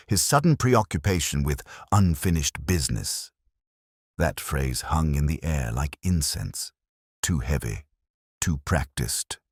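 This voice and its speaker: A man voicing an ageing, melancholy detective, narrating a literary radio drama in a measured, reflective, solemn manner. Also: very deep voice, no room sound, clean studio sound, exaggerated voice. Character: ageing, melancholy male detective, wry and brooding.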